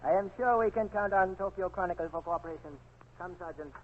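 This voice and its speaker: An actor plays a Japanese army major, coldly polite and quietly menacing. He speaks quickly and formally in a rather high voice, on a noisy old radio drama broadcast. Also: narrow, tinny audio, natural voice. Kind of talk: 1940s radio drama acting.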